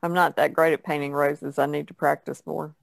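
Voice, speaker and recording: A woman's voice: deep voice, level tone, a little fast, gravelly, no room sound. An American woman co-hosting an art podcast, talking casually. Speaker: podcast co-host and artist. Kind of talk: self-introduction and casual podcast chat.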